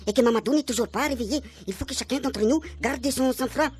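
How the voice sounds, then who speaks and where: A man's voice: very high voice, quick pace. A man acting an earnest, proud, sensible friend with a hesitant manner in a radio comedy. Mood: earnest, reproving. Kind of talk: comic radio serial acting.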